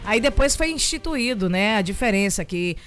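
A woman with a Bahian accent hosting a morning radio show, chatty and teasing, sending shout-outs to listeners from the studio. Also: mid-range voice, animated, normal speed, music in the background. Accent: Bahian Brazilian Portuguese. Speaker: radio host.

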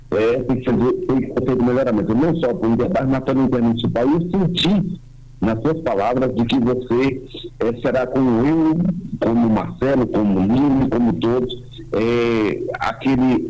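A Brazilian man on a radio sports panel, speaking slowly with many fillers and restarts. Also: medium pitch, warm tone, poor audio. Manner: slow, hesitant, many fillers.

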